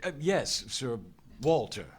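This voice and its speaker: An actor playing an earnest young adventurer hero in a live radio play, speaking in dramatic, literary phrasing. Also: male voice, medium pitch, big pitch swings, takes it slowly. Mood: polite, flustered. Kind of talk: live old-time radio play acting and narration.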